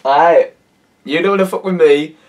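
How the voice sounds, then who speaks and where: Hoarse teenage Cumbrian lad. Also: male voice, on the high side, moderate pace, a little mellow, far from the mic. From Cumbria, England. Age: teens. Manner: laughing, swearing, incredulous. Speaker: YouTuber. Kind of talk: casual reaction vlog. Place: bedroom, indoors. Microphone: webcam at desk.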